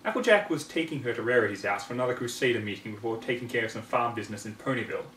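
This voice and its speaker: A man's voice: mid-range voice, lively intonation, racing, fairly bright tone. A man reading a scripted story as an amateur narrator, recorded at home. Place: indoors at home. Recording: roomy, distant mic, faint background noise.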